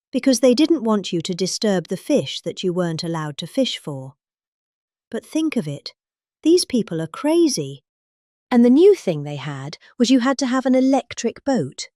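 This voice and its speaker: A woman with a British accent voicing a pompous, indignant middle-aged lady delivering a rambling political speech, with exaggerated emphases and pauses. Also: medium pitch, darkish tone, no echo, clean studio sound, close to an everyday voice. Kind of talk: dramatic comic reading of a political speech.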